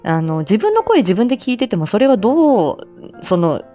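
Woman just chatting on a stream, really up and down pitch, humble and kinda hesitant, dull lo-fi sound. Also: sing-song, moderate pace, dark tone, faint music behind. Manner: self-effacing, hesitant, giggly, trailing phrases. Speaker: amateur voice drama actor and livestream host. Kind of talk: casual reflective livestream chat.

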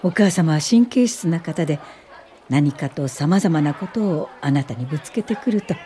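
An actress playing a gentle older woman professor of social work in a radio drama, speaking softly, politely and without hurry in a warm, encouraging way. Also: low voice, animated, some chatter behind. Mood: gentle, reassuring. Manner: soft, polite, unhurried, old-fashioned feminine Japanese. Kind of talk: radio drama acting and reminiscing narration.

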